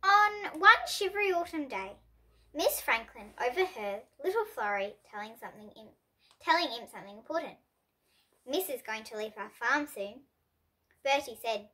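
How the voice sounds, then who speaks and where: A young reader, presented as a child, reading a children's picture book aloud with expressive delivery and lightly voiced characters. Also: female voice, sits high. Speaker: storybook reader.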